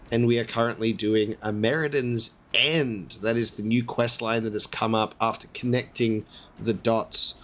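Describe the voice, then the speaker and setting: Hoarse, chatty gaming commentator man. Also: mid-range voice, fairly bright tone. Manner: chatty, rambling, upbeat. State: hoarse from a lost voice. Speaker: let's play host. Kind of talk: casual gameplay commentary.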